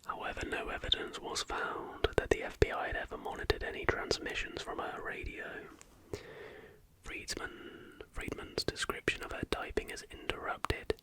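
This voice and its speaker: Man, breathy unvoiced whisper, ASMR narration, soft-spoken, informal host. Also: normal speed, rough recording.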